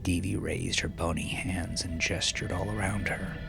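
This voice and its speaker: A man narrating dark dramatic fiction in a brooding, theatrical way, with breathy hushed phrase endings. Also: deep voice, fairly even intonation, normal speed. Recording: music behind the voice. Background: music.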